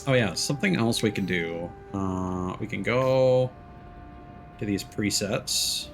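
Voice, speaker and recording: A man's voice: fairly low pitch, slow, creaky, faint music behind. A man doing casual live game-stream commentary, thinking aloud in a rambling way.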